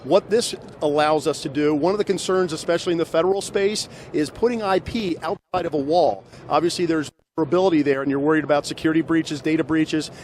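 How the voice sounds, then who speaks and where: A man's voice: a little high, fast, few pauses, a little mellow. A middle-aged man presenting products conversationally into a handheld microphone in a trade show hall. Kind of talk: promotional product demonstration, conversational. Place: large busy trade show hall, indoors. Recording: clear background noise of exhibition hall.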